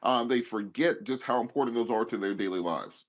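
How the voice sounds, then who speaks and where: Dull, lo-fi audio carries a man with an American accent and a mid-pitched voice. He speaks as a panelist putting a question in a political discussion. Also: a little fast.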